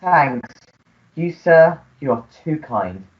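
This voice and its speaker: A woman's voice: sits low, lively intonation, slow pace, highs cut off. A woman playing a long-suffering, deferential English manservant in a comic melodrama radio play, formal and apologetic. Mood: dutiful.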